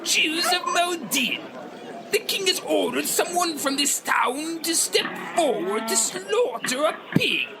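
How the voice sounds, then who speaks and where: A woman playing a domineering soldier who shouts royal orders in an old-time radio play. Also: high voice, very animated, creaky, over loud music, over a noisy crowd, heavily acted. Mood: commanding. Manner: slow, shouted proclamations. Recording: very loud farm animal ambience.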